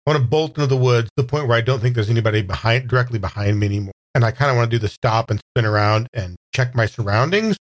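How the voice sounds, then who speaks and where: A quick-talking man with a middle-pitched voice plays a tabletop game. They lay out their character's moves casually, making them up as they go. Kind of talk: casual, improvised description of character actions.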